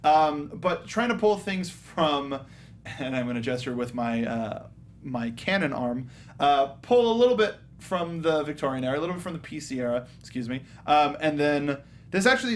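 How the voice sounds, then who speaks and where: A man co-hosting a casual film review panel, talking in a halting, stop-start way. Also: mid-range voice, slight background noise. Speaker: co-host of a film review show.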